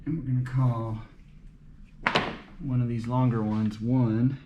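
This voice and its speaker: A man with a mid-pitched voice talks himself through a repair job. He speaks slowly, in short unscripted pieces, as if thinking aloud. The sound is roomy, with clear noise around him. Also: fairly even intonation, dull audio. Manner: muttering to himself in short fragments while working. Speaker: amateur mechanic narrating his car repair. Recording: roomy, echoey, noisy workshop-like recording.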